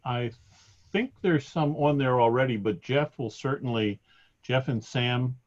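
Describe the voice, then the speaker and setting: A man on a webinar call answering questions, hesitant and self-deprecating, with a loud voice that projects. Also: mid-range voice, measured pace, muffled recording. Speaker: sports department staff.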